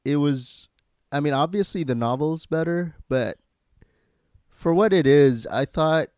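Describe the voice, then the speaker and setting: A man hosting a podcast in casual, halting chat peppered with profanity. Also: fairly high pitch, darkish tone, dry recording, studio quality. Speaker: podcast host.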